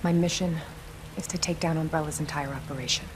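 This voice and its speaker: An actress playing a cool, mission-driven secret agent in a video game cutscene, controlled and commanding. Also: female voice, deep voice, fairly even intonation, fast, noisy background, lightly acted. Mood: resolute.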